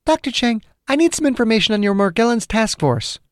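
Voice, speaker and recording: Assertive female doctor, played by a male narrator: voice lifted high, polite, measured, professional, dry audiobook sound. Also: sing-song, no room sound. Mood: insistent, restrained. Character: polite but assertive woman government disease doctor. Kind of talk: audiobook character dialogue.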